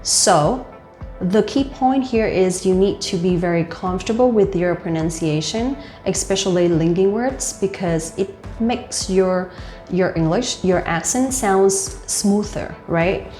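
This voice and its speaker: A woman's voice: medium pitch, a little slow, mellow. A young woman with a Vietnamese accent gives casual speaking tips, talking loosely with many "like" fillers. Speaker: host and life coach. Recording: roomy with faint music.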